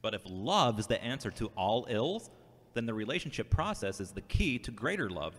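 A man's voice: medium pitch, very animated, normal speed. A man playing an earnest, devout, searching younger brother who questions himself, in an amateur audio drama. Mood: earnest, reasoning. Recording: reverberant hall-like sound.

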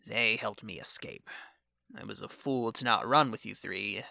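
A man playing a scheming, commanding supervillain with a gravelly voice in an ASMR audio drama. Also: lowish voice, moderate pace, pushed and bright, no room sound, full character voice. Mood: commanding, plotting.

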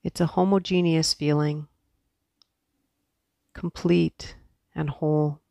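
A woman teaching yoga guides a meditation softly and soothingly, leaving long pauses between her instructions. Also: deep voice, fairly even intonation, gravelly, dry recording.

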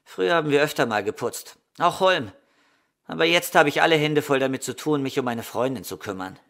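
A man's voice: on the high side, animated, a little fast, a bit bright. A man playing a polite, open young man with burdens, speaking plainly in a crime radio play. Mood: earnest.

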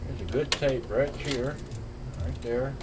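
Man rambling casually over loud background noise. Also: mid-range voice, fairly even intonation, slow. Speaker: host demonstrating vintage audio gear. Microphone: camcorder microphone. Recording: noisy amateur camcorder, loud background hum.

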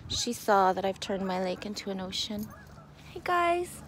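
A woman's voice: mid-range voice, very animated, measured pace. A woman musing dreamily and casually to a phone outdoors, swearing freely. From Canada. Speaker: vlogger. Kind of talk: rambling, musing casual chat. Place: outdoors on a lakeside beach. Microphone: handheld phone. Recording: outdoor phone recording with faint noise.

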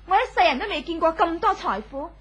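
Proud scornful young wife, high voice, old 70s radio drama, cutting and aloof. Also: female voice, lively intonation, quick pace, dull audio. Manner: haughty, cutting. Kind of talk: 1970s radio drama acting. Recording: old 1970s radio broadcast.

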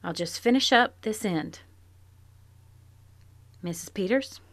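Actress as a shrewd, sympathetic farm wife, plain rural speech, mid pitch. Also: female voice, lively intonation, slow, fairly bright tone, no room sound, heavily acted. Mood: searching. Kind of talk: live audio drama. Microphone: home closet mic, dry.

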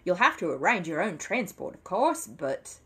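A woman's voice: fairly low pitch, sing-song, moderate pace, sharp tone, husky, full character voice. A woman voicing a fussy, sarcastic and grudging male junk dealer in a storybook reading. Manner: sarcastic, reluctant, haughty.

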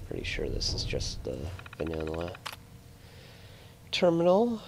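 Low-voiced man muttering over a game. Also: huge pitch swings, measured pace, gravelly, a little hiss or hum. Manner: mumbles and mutters to himself. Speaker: gamer commentating on a video game playthrough. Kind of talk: casual gameplay commentary.

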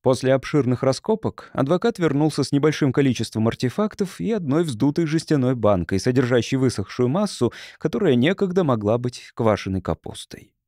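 A man's voice: mid-range voice, lively intonation, a little fast, a little mellow, no echo, clean studio sound. A man reading literary prose as a scripted audiobook narrator.

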